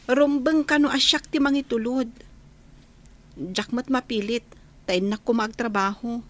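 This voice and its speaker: High-pitched frustrated young wife played by an actress. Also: female voice, steady tone, a little slow, close to an everyday voice. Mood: complaining. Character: frustrated young wife and mother wanting work. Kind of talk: Ilocano radio drama acting.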